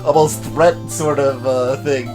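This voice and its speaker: A man's voice: medium pitch, fairly even intonation, a little slow, music in the background. A man hosting a let's play with casual commentary, reading captions aloud and adding wry, rambling asides.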